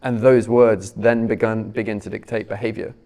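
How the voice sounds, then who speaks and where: A man interviewing an author onstage, measured and searching for his words. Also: on the low side, level tone, darkish tone. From Britain.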